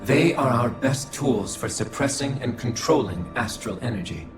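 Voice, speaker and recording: An echo drenches this calm alien intelligence from a video game, acted by a man. It speaks in formal, measured statements with cold logic and no visible feeling, while music plays clearly behind it. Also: mid-range voice, close to an everyday voice. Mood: detached, formal. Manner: formal, measured, dispassionate delivery. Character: calm, coldly logical, otherworldly male alien observer being. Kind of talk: scripted video game cutscene acting. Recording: echoing voice over background music.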